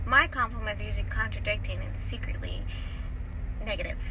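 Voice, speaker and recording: A young woman chatting casually in a truck cab, wry and teasing with her co-host. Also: a little fast. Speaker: team truck driver and video co-host. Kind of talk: casual vlog chat. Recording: loud engine and road noise. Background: vehicle rumble.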